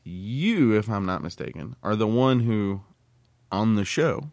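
A man's voice: on the low side, lively intonation, measured pace, darkish tone, dry recording. An American man co-hosting a casual podcast, talking in a blunt, crude, colloquial way. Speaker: podcast co-host.

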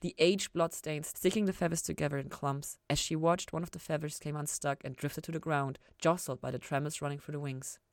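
A woman's voice: low-pitched, steady tone, a little fast, few pauses, dry recording, studio quality. A woman reading scripted fiction aloud as a narrator. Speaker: fan fiction reader, podcast host.

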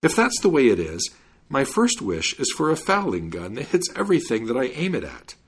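A man's voice: medium pitch, wildly swooping pitch, a little fast, breathy edge. A man voicing a cocky, mischievous servant in a storybook tale, with a breezy, teasing manner. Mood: eager.

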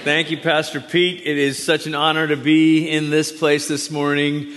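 A man who grew up in Malaysia speaks as a guest preacher in a church sanctuary. His talk is conversational and warm, full of friendly asides to the congregation. The sound rings with long hall reverb. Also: a little high, level tone, moderate pace. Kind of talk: sermon introduction.

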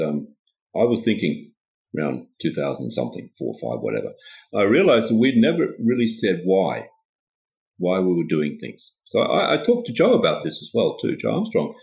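A man's voice: creaky, a little mellow, low-quality recording. A man from Sweden who grew up in Australia, a guest explaining ideas over a remote call, hesitant with frequent restarts. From Sweden, raised in Australia. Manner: halting, restarts often, rising pitch on openers. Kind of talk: casual technical interview.

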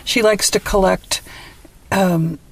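A woman's voice: mid-range voice, fairly even intonation, takes it slowly, vocal fry, breathy edge, rough recording. A woman talking casually as a podcast interviewer.

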